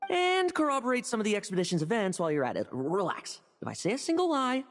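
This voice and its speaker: A woman's voice: mid-range voice, big pitch swings, fast, long reverb tail, a little hiss or hum, close to an everyday voice. A woman playing a cheeky, evasive young knight in a game, with a breezy, glib manner. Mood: scheming, playful. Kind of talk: game voice acting.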